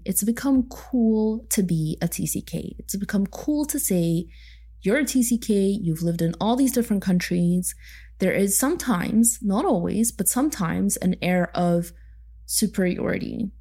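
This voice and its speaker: A woman's voice: medium pitch, lively intonation, normal speed, gravelly, warm tone, breathy edge. A young woman hosting a solo podcast, talking reflectively with small laughs and asides. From Egypt. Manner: thoughtful, with light laughs and asides. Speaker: podcast host.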